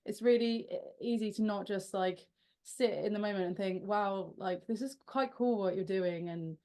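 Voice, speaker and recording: A young woman fronting a rock band talks through interview questions in a loose, chatty way. She often trips over her own words, restarts her sentences and laughs at herself. She gets animated when an answer excites her. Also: mid-range voice, moderate pace.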